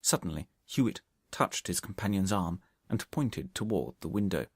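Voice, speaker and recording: A man reading a detective story aloud as an audiobook narrator, with expressive scripted delivery. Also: low voice, normal speed, dry recording, clean studio sound.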